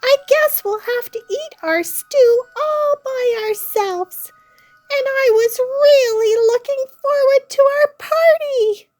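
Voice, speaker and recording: A woman's voice: very high voice, slow, darkish tone, soft background music, pitched above the performer's own voice. A woman voicing a sweet, eager young girl witch in a children's storybook, with a singsong way of talking. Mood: disappointed.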